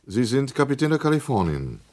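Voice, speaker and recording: An actor playing a male committee chairman in a radio drama who swears in witnesses and questions them in a measured, official tone. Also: medium pitch, sing-song, darkish tone, natural voice. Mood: formal. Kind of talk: 1980s radio drama acting.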